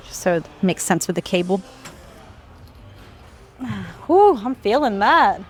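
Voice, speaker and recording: A woman's voice: mid-range voice, big pitch swings, slow pace, a little hiss or hum. Breathless woman coaching mid-workout. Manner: talks through exercise with effortful sighs and exhales. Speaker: fitness vlogger. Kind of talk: casual workout instruction. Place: gym.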